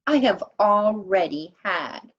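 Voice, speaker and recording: A woman voicing an excitable, curious little girl elf in a children's storybook, earnest and exclaiming. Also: mid-range voice, slow, husky, dull audio, heavily acted. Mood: earnest, urgent.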